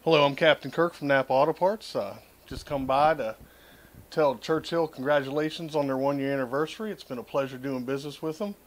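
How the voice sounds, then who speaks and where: A man's voice: medium pitch, fairly quick. A man from a parts supplier offering friendly congratulations, with a few fillers. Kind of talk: congratulatory remarks.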